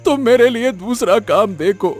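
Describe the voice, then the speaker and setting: A man's voice: extremely high pitch, expressive, normal speed, a little mellow, faint music behind. A man playing a naive, honest village vegetable seller in a cartoon, earnest and plaintive, often repeating himself. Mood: hurt, pleading. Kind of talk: Hindi cartoon moral story acting.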